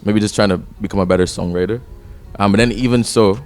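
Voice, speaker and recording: A man's voice: lowish voice, fairly even intonation, fast, faint music behind. A young man, a rapper talking casually and reflectively as a podcast guest. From Barbados. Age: 20s. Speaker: rapper and songwriter interviewed as guest.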